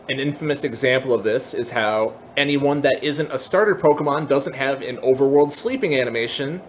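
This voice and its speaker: A man hosting a software tutorial in a casual, informal explaining style, with hesitations and trailing asides. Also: mid-range voice, a little hiss or hum. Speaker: tutorial host.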